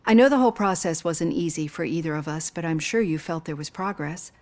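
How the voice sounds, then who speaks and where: An actress playing a psychologist in her fifties who asks gentle, probing questions. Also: deep voice, brisk. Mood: earnest. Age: 50s. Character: female psychologist in her fifties, caring and composed.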